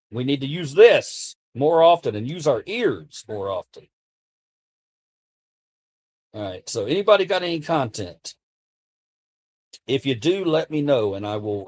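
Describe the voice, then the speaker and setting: American guy, live streamer, mid-pitched voice, earnest and fired up, repeats himself, desk mic. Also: a little mellow. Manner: earnest, impassioned, repeats himself while speaking off the cuff. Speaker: livestreamer talking to chat. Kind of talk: casual live-stream chat.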